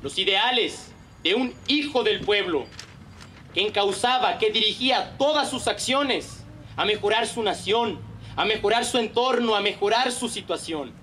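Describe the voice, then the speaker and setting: A young man in his late teens with a northern Mexican accent, giving a solemn, emphatic declamatory speech with deliberate rhetorical pauses. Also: high-pitched, lively intonation, sharp tone, a little hiss or hum. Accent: northern Mexican Spanish. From Sonora, Mexico. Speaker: student orator.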